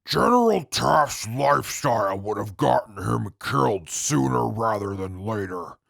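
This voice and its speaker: Haughty, scornful convict played by a man, extremely gravelly, slow and formal, for a wasteland audio drama. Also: on the low side, lively intonation, fairly bright tone, no echo, studio quality, full character voice. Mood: scornful, blunt. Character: stern, haughty male prisoner, proud and scornful. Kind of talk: post-apocalyptic audio drama acting.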